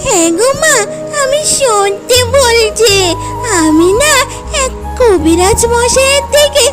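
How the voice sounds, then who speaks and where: A woman voicing an eager little girl in a children's cartoon, with a drawn-out, whiny, pleading delivery. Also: very high-pitched, expressive, full character voice. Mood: insistent. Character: eager little girl who adores mangoes. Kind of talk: children's cartoon story voice acting. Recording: loud background music.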